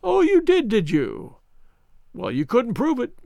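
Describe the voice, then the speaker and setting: A man's voice: very high-pitched, huge pitch swings, measured pace, creaky, a little mellow, no echo, voice pushed up, full character voice. A man voicing a smug, cruel villain who taunts and sneers in a dramatized audiobook reading. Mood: indignant, sneering. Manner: taunting, insistent, mock-concerned.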